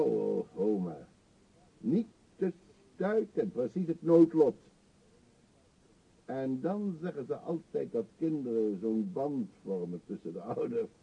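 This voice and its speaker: An actor in a radio play voicing a middle-aged male vagrant, self-pitying and confessional, with a husky, halting, theatrical delivery. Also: mid-range voice, heavily acted. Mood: exasperated, bitter, then aggrieved, dramatic. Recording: dull lo-fi radio play recording.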